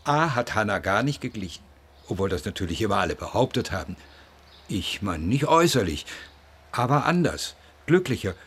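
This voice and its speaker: An actor playing a grieving middle-aged father in a radio play, musing haltingly and plainly about his loss. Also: on the low side, sing-song, fairly bright tone, breathy edge, heavily acted. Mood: wistful. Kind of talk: interview-like monologue in a radio play.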